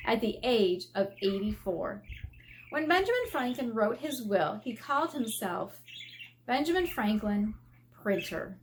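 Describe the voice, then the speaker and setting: A woman's voice: on the high side, slight background noise, low-quality recording. An American woman, an elementary school teacher, reading aloud to children and adding simple explanations as she goes.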